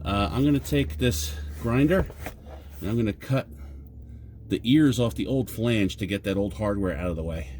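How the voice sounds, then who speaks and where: Stuffy-nosed male DIY host, slightly low voice, loose self-mocking chatter, faint ambient noise behind. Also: normal speed, darkish tone. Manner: rambling, self-deprecating, chatty with fillers. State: stuffy nose. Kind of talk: casual how-to vlog.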